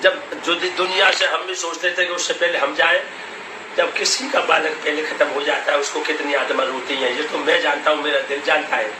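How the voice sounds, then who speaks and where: A man's voice: on the high side, fairly even intonation, normal speed. An elderly man, a religious storyteller, answering interview questions informally in a rambling way that repeats phrases. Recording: noisy echoey call recording, distant voice.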